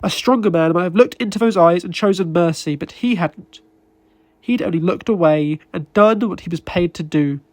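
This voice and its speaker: A man reading literary fiction as a narrator, with a deliberate, emotive delivery and dramatic pauses. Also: sits high, a little mellow, dry recording. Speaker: narrator and author reading his own short story. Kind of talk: dramatic scripted audiobook narration.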